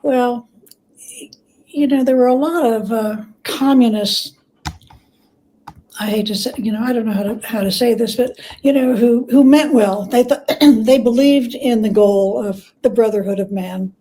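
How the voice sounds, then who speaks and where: A woman speaking as a guest scholar in an interview, explaining things conversationally in halting, thoughtful phrases with self-corrections. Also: fairly high pitch, dark tone, poor audio.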